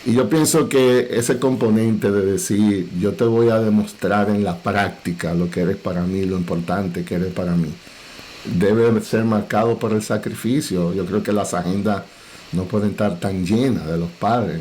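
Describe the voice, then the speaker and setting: A middle-aged man with a Dominican Cibao accent, a pastor speaking earnestly and in a measured way on a parenting panel. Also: on the low side, a little mellow. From Dominican Republic.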